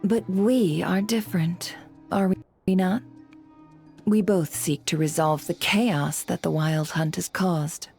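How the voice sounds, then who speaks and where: Low-voiced, sly woman information broker. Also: steady tone, slow pace, gravelly, a little mellow, faint music behind. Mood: persuasive, cool. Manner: composed, coy, insinuating. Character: shrewd, sly female intelligence broker, coolly polite. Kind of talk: video game character dubbing.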